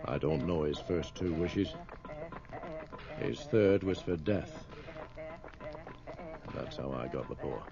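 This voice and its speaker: An actor playing a grim, haunted older sergeant major, an ominous and deliberate storyteller in a 1980s horror radio drama. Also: male voice, a bass voice, creaky, over loud music, full character voice. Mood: ominous, brooding. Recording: muffled, noisy 1980s radio drama recording.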